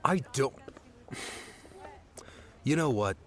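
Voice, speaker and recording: A man playing a touchy, guarded young guardian in an audiobook, with an exasperated, halting delivery. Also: medium pitch, animated. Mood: flustered. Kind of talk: audiobook character dialogue.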